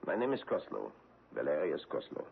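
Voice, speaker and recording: Casting: sinister European government hunter, rock-bottom husky voice, formal and insinuating, old muffled radio drama. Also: male voice, rumbling low, wildly swooping pitch, fairly quick, full character voice. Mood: sly, probing. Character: menacing foreign government investigator hunting a woman from his homeland. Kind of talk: radio mystery drama acting. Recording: muffled narrow-band old radio broadcast.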